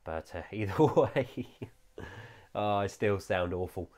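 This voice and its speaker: A man's voice: lowish voice, slow pace, a little mellow. A man with a nasal voice, recovering from a cold, giving an enthusiastic, chatty toy review with frequent "uh" fillers. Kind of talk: casual product review.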